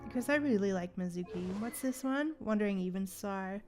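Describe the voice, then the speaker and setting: A woman's voice: mid-range voice, animated, fairly quick, over music. A woman hosting a casual gaming livestream, chatting with viewers and thinking aloud in a playful, conversational way. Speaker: livestream host.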